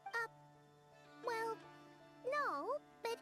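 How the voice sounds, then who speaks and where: A woman voicing a small, childlike fairy companion in a video game, squeaky and inquisitive. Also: sing-song, drawn-out words, pushed and bright, exaggerated voice. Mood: curious. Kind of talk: scripted video game voice acting. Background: game music.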